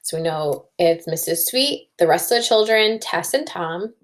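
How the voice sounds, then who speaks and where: A woman's voice: lowish voice, expressive, moderate pace. A woman teacher doing a children's read-aloud and story discussion, thinking aloud, recorded indoors at a computer.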